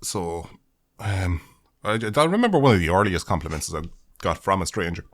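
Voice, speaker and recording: A man's voice: sits low, very animated, normal speed, fairly bright tone. A young man, a podcast guest, trading crude casual banter, swearing a lot and laughing at his own jokes.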